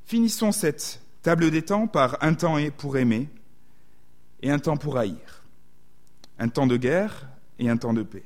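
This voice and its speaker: A man's voice: fairly high pitch, expressive, unhurried, long pauses. A man with a southwestern French accent preaching a sermon, thoughtful and often hesitating to reword his thoughts.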